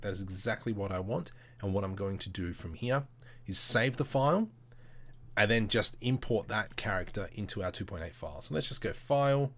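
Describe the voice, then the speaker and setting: A man with a fairly low voice hosts a casual screen-share tutorial on a livestream. He thinks aloud in short step-by-step phrases, with informal, slangy wording. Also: slight background noise.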